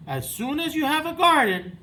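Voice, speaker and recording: Storybook frog, male, acted by a man reading aloud: sensible, helpful, high and very lively in pitch, unhurried. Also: slight background noise, full character voice, rough recording. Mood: reassuring.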